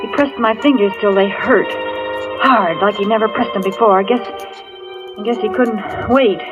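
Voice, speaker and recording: A woman's voice: mid-range voice, moderate pace, lightly acted. An actress playing a frightened young woman in a dramatic 1940s radio thriller. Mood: tense, suspenseful. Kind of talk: 1940s radio thriller acting, first-person narration. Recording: dull vintage radio recording with music.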